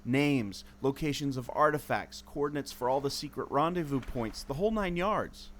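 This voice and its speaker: A man's voice: mid-range voice, expressive, moderate pace, a bit bright, slight background noise, close to an everyday voice. A man acting an earnest, knowledgeable explorer in a scripted audio adventure, with a theatrical, explanatory delivery. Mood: awed. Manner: expository, theatrical. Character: earnest male explorer, knowledgeable enthusiast of secret lore.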